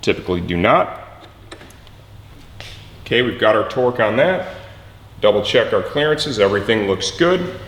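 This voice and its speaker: Low-voiced man teaching in an echoey space. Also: sing-song, moderate pace, pauses often, a bit bright, slight background noise. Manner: instructive, checks with "okay". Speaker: motorcycle mechanic presenter. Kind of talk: hands-on how-to instruction.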